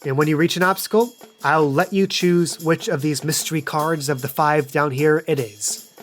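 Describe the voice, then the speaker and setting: A man's voice: on the high side, moderate pace, soft background music. A man narrating an improvised tabletop game as game master, casual and playful in manner.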